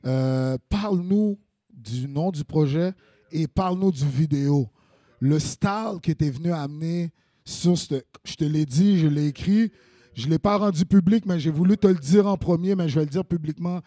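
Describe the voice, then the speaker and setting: A man's voice: on the high side, normal speed, dark tone, no echo. A man with a Quebec French accent hosting a web TV interview, hyping up his guests. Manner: enthusiastic, hypes up guests. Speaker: web TV host.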